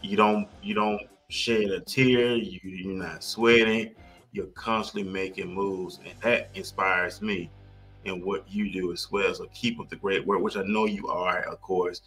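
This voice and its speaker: A man's voice: low-pitched, steady tone, unhurried, a bit bright, faint music behind. A man hosting a podcast in an enthusiastic, effusive way, with emphatic, repetitive phrasing. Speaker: podcast host.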